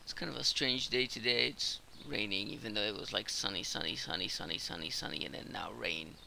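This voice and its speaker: Hoarse gravelly man narrating his gameplay. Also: fairly low pitch, fairly quick, sharp tone, slight background noise, rough recording. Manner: rambling, filler-heavy, explains as he plays. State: hoarse from a cold. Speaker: gameplay commentator. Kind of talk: casual gameplay commentary.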